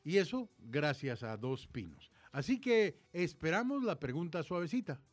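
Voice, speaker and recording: Male radio host, Salvadoran accent, higher-pitched voice, casual banter, dry studio, music behind. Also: big pitch swings, moderate pace.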